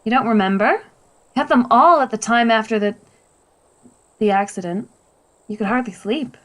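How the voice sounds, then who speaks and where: A woman's voice: fairly high pitch, normal speed, a bit bright, lightly acted. A woman playing the blunt, exasperated wife of a superhero in a radio play, pointed and reproachful. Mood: dry, probing.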